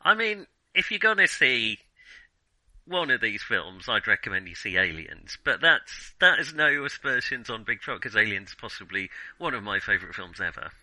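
A man's voice: fairly low pitch, sing-song, moderate pace, bright, forceful tone, no room sound, rough recording. A man hosting a podcast, chatting casually about films in a wry, self-deprecating, jokey way. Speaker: podcast host. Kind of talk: casual film discussion with playful scripted intro.

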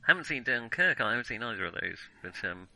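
A man's voice: mid-range voice, very animated, quick pace, gravelly, a crowd in the background. A British man co-hosting a podcast, chatting casually about films in a reactive way with frequent agreeing interjections. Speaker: podcast co-host.

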